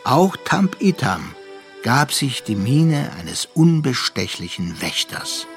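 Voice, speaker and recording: Reflective narrator, measured, melancholy, medium pitch, literary radio play, music underneath. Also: male voice, big pitch swings, creaky, exaggerated voice. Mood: wistful recounting. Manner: measured, contemplative.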